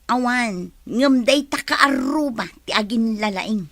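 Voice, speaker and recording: A woman's voice: a little high, animated, measured pace, a bit bright, no room sound. A woman playing a concerned village woman in a radio drama. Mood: worried. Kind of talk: Ilocano radio drama acting.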